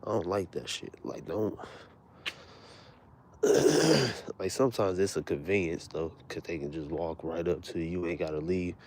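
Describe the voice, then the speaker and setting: A young man with a very deep, low voice talks in an unhurried, rambling way, cursing freely as he tells stories. He keeps his volume down so nobody in the house wakes, but his deep voice still carries. It sounds like a casual late-night vlog recorded at home. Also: darkish tone, slight background noise. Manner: laid-back, rambling, profane, speaking low and near a whisper at times. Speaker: vlogger.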